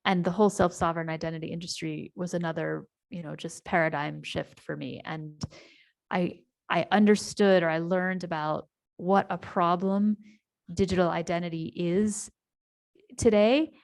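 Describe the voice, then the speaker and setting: American woman with a low, gravelly voice. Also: steady tone, moderate pace. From United States. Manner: warm storytelling with frequent ums and restarts. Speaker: community presenter. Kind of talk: online town hall presentation. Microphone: video call.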